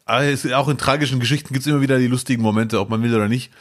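A man in his thirties with a mid-range voice co-hosts a relaxed podcast. He tells stories with animated energy and drops jokes along the way. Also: medium pitch, very fast. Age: 30s. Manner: animated storyteller, lively and jokey. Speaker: podcast co-host. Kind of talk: casual podcast chat.

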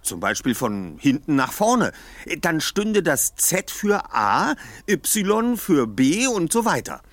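Slow-spoken, clever young detective. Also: male voice, on the high side, sing-song, dry recording, full character voice. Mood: analytical, deliberate. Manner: precise, deliberate, explanatory. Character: clever, methodical young male detective leading the reasoning. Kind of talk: German audio drama acting.

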